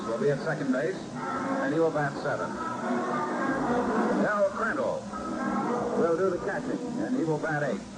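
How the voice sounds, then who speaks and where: An American man giving 1950s baseball radio commentary in florid, dramatic phrases with deliberate pauses, on a vintage broadcast recording. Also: fairly high pitch, poor audio. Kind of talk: measured, grandiloquent 1950s sports radio commentary.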